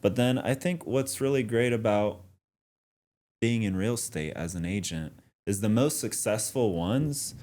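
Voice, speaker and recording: A man's voice: lowish voice, measured pace, darkish tone. A man hosting a casual podcast interview, affirming his guest and explaining points in a conversational way. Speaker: podcast host, real estate agent.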